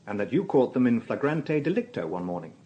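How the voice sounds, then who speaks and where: A wry police detective in a radio drama. His British voice is husky and mid-pitched, with lively movement and a dry, probing, conversational delivery. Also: a little fast, highs cut off. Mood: pointed. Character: wry, shrewd, easygoing male police detective. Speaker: actor and narrator. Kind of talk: naturalistic radio drama with first-person narration.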